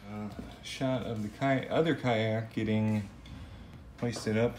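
A middle-aged man giving casual, halting, unscripted how-to narration while thinking aloud. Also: lowish voice, steady tone, noisy background. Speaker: vlogger demonstrating a DIY setup. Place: indoors in a cluttered home garage. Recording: echoey garage, faint music.

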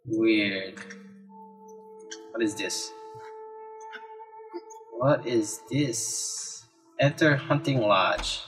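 A man plays a video game and talks himself through it at an unhurried pace. His commentary is casual and reactive, full of exclamations, with game music faintly behind him. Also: fairly low pitch, fairly bright tone. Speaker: let's play gamer.

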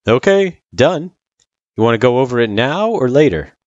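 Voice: mid-range voice, big pitch swings, normal speed, dry recording, highs cut off, voice pushed up, close to an everyday voice. A storyteller voicing a laid-back, kindly male figure who tutors in a casual, slangy and patient way. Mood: easygoing.

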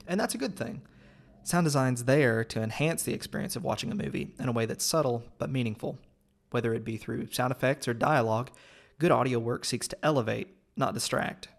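Creaky-voiced man narrating a video essay. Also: medium pitch. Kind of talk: scripted narration.

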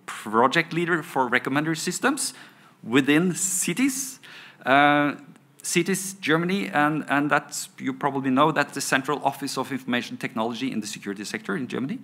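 Bright male panel moderator. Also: fairly high pitch. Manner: reads from notes with many fillers and restarts. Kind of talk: introducing a conference panel. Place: conference panel stage indoors. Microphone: table microphone on stand.

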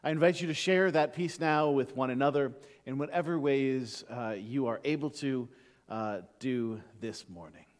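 A middle-aged man as pastor welcoming people to worship, friendly and halting, with many fillers, standing in a church sanctuary. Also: medium pitch. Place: church sanctuary before the altar. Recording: sanctuary with long reverb.

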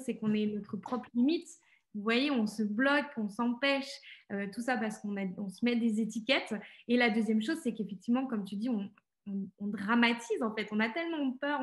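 A woman host with a rather high voice eagerly sums up what her guest has said in a casual interview. Her voice carries a noticeable roomy echo. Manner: enthusiastic, recaps the guest's points.

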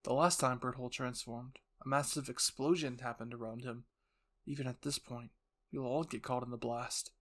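A man with a medium-pitched voice narrates a scripted roleplay. He tells the story in the second person, with dramatic storytelling delivery. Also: fairly even intonation, normal speed, a bit bright. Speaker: narrator and channel host.

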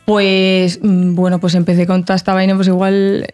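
A woman's voice: lowish voice, monotone, normal speed, darkish tone, faint music behind. A woman in her thirties from Portugal, a guest chatting casually on a podcast, with many fillers and restarts, close on a desk mic in a studio. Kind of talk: casual chat. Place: podcast studio with plants and a backdrop.

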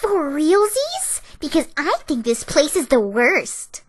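A woman's voice: high-pitched, huge pitch swings, slow pace, heavily acted. A woman voicing a ditzy, bubbly, naive teenage siren girl from a cartoon in a chirpy, airheaded way. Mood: perky, naive. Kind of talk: cartoon character voice reel.

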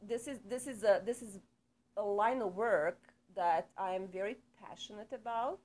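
Woman, middle-aged, Serbian accent, non-native English, hesitant, measured, small studio, camera mic. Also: medium pitch, darkish tone. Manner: unhurried, frequent hesitations, light laughs. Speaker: psychotherapist guest. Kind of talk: casual interview.

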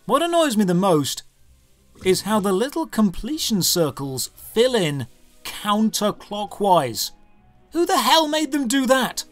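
A man's voice: high-pitched, big pitch swings, unhurried, soft background music. A man narrating a scripted game review as its host, with a sarcastic, mock-exasperated manner.

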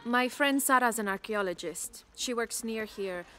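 A woman's voice: a little high, unhurried, a bit bright. A woman playing a friendly, easygoing young local in a video game, chatting casually. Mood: fond, casual. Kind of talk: conversational video game dialogue.

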